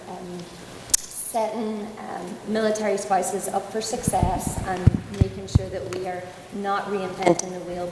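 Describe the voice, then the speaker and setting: An Irish woman, a panelist at a conference, talking quickly and upbeat with many ums. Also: fairly low pitch, background noise. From Ireland. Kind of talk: panel discussion. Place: conference hall. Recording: echoey hall, faint ambience.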